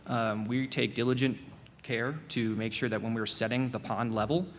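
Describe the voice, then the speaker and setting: A man on a conference panel, answering a question in a steady, explanatory way. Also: medium pitch, normal speed. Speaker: panel presenter. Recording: reverberant hall with faint noise.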